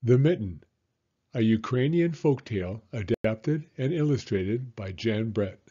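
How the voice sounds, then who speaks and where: Expressive male storyteller, mellow and slightly husky. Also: lowish voice, unhurried, lo-fi sound. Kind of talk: children's picture book read-aloud.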